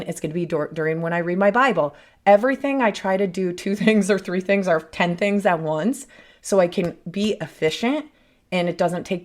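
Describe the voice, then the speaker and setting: A woman in her thirties talks through her podcast in a chatty, upbeat way, often breaking off mid-thought. Her voice sits a little low and is raspy, roughened further by a cold. She speaks into a desk mic. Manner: chatty, self-interrupting, upbeat. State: sick, a bit hoarse. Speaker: podcast host. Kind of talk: casual solo podcast talk.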